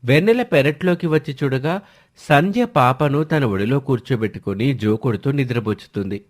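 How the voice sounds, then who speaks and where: A man reads scripted story narration in a medium-pitched voice. The intonation swings widely and keeps the telling animated. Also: moderate pace.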